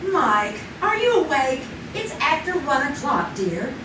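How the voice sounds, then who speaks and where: A woman's voice: sits high, very animated, measured pace. A woman voicing an anxious 1950s housewife in a live old-time radio play. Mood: drowsy concern. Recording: roomy live stage, distant mic, noisy.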